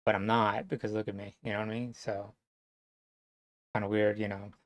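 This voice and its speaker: A man from New Jersey talking to camera in a rambling, casual monologue, halting and full of fillers, swearing now and then. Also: fairly low pitch, little pitch movement. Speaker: vlogger.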